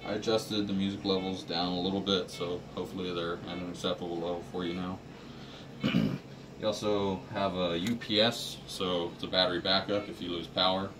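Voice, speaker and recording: A man with a very deep voice talks through equipment in a plain, practical way, one step at a time. He sounds like an installer showing staff the ropes. He is picked up from a distance, with clear room noise around him. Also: flat delivery, a little fast. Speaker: installer explaining a system to staff. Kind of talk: informal hands-on equipment walkthrough. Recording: noisy room, distant microphone.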